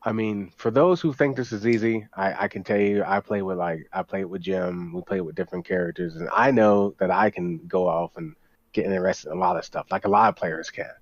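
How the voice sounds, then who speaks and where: Low-voiced man rambling casually, slightly dull sound. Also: a little fast, no room sound. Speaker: podcast guest, tabletop game player. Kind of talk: casual chat.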